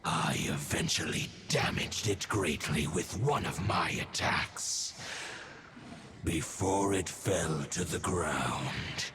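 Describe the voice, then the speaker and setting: A man's voice: sits low, takes it slowly, noisy background. A man voicing an ancient, proud wolf god who speaks in a solemn, haughty, archaic way in a game. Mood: grave recounting. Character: ancient proud male wolf god, aloof and scornful of humans. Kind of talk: dramatic game voice acting. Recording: loud music under the voice.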